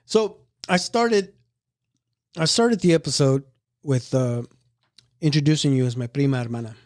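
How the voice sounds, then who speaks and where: A man's voice: medium pitch, normal speed, darkish tone, dry recording, studio quality. A middle-aged man hosting a family podcast, chatting casually and rambling with many restarts. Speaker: podcast host.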